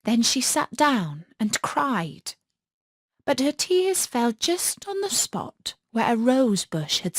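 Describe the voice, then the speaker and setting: Expressive, unhurried woman storyteller. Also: a little high, husky, no echo, studio quality. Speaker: audiobook narrator. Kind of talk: scripted children's fairy tale narration.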